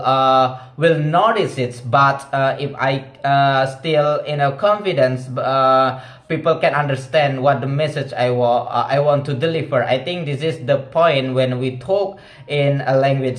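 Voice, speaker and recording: A man's voice: mid-range voice, roomy sound. A young man with an Indonesian accent, a non-native English speaker talking casually and hesitantly, with many fillers and restarts as he practices speaking English. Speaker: vlogger.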